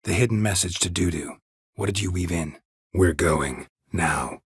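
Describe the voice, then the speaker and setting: Gruff american monster hunter for a game, super raspy, dry sardonic deadpan, close dry studio sound. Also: male voice, normal speed, no echo. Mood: flat, businesslike. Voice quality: husky, gravelly low voice. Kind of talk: video game voice acting.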